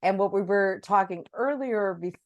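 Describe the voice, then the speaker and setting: A woman's voice: mid-range voice, normal speed, a little mellow, lo-fi sound. Enthusiastic woman hosting a podcast. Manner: enthusiastic, effusive. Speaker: podcast host. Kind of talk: chatty interview hosting.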